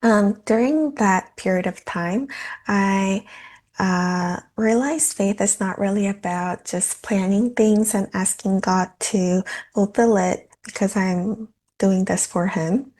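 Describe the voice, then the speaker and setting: A woman in her twenties, a dental student, giving a prepared personal statement in a measured way with frequent pauses. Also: medium pitch, a little mellow. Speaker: scholarship candidate, dental student.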